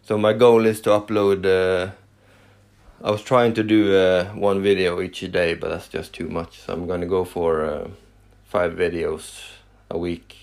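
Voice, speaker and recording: A man from Norway with a Norwegian accent, speaking English as a second language while hosting a casual solo podcast. He pauses often, with many fillers and restarts. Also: low-pitched, little pitch movement, darkish tone. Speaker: podcast host.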